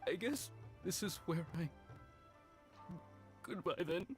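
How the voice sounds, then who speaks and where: An actor playing a glum, resigned male clerk in a video game, speaking slowly and gloomily. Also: sits high, over music. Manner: slow and gloomy.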